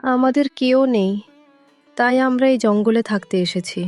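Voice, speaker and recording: A woman's voice: a little high, moderate pace, a little mellow, no room sound, faint music behind, lightly acted. A woman playing a meek, tender young mother in a cartoon moral story, speaking plaintively and humbly. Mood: humble. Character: meek, pleading young mother cast out with her daughter.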